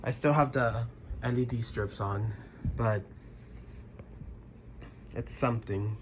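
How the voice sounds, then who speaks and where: Guy with a bit of a sick hoarse voice, rambly chatty review, faint hum behind him. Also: medium pitch, steady tone, takes it slowly. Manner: rambling, restarts and throat clearing. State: slightly hoarse, half sick. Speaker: prop reviewer. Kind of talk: casual spoken review.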